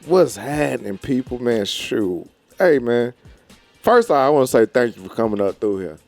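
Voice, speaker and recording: A man's voice: medium pitch, very animated, normal speed, a little mellow. A man hosting a casual hip hop podcast, talking in hyped street slang and repeating his phrases. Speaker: podcast host. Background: hip hop music.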